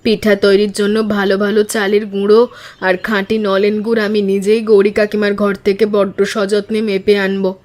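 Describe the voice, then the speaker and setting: A woman voicing a practical, caring village mother in a cartoon story, speaking in an earnest, measured way. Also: mid-range voice, level tone, darkish tone, lightly acted.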